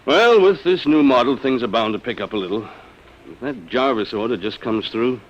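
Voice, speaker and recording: Man playing fretful husband, excitable swooping voice. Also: mid-range voice, normal speed, dull audio, close to an everyday voice. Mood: defensive. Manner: fretful, blustering. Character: harried, exasperated husband and robot salesman. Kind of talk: 1950s radio drama acting. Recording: noisy old radio broadcast recording.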